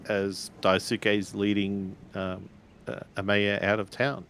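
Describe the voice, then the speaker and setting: A man's voice: on the low side, level tone, slow, a bit bright, a little hiss or hum. A man playing a tabletop roleplaying game, improvising dialogue in a hesitant, questioning way as he thinks aloud.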